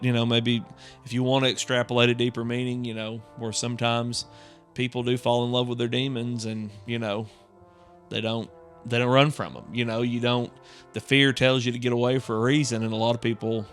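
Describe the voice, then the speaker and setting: A man's voice: medium pitch, flat delivery, a little fast, fairly bright tone, faint music behind. A man co-hosting a podcast, chatting casually and explaining his take with conversational asides. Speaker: podcast co-host. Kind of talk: casual chat.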